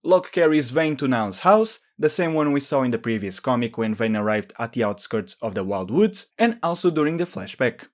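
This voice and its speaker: A man's voice: medium pitch, sing-song, moderate pace, few pauses. A man giving scripted explainer narration as a video host, in a matter-of-fact, explanatory way.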